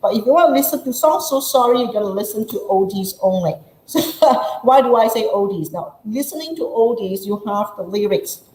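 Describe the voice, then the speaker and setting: A woman's voice: medium pitch, animated, a little fast, darkish tone, reverberant, rough recording. A woman English teacher from Malaysia with a Malaysian English accent, giving a chatty workshop lesson and playing out her students' questions.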